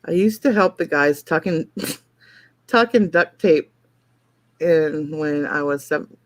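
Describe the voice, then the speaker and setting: A woman's voice: deep voice, slow, dry recording. A woman hosting a casual late-night livestream, chatty, telling stories and reacting to chat. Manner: chatty storyteller, reads out chat comments. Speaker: livestream host.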